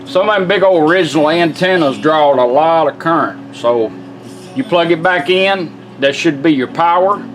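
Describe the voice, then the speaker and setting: A man's voice: fairly high pitch, moderate pace, rough recording. A man giving an informal, hands-on product demonstration in a casual, folksy way. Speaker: radio restorer presenting a product. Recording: music playing behind the voice. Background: music from the demonstrated car radio.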